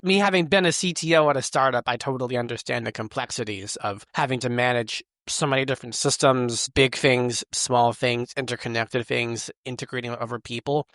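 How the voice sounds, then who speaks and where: A man's voice: mid-range voice, fairly even intonation, a little fast, few pauses, fairly bright tone, no room sound, clean studio sound. A man hosting a podcast, upbeat and curious as he asks his guest questions. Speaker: podcast host. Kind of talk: podcast hosting, scripted intro then casual chat.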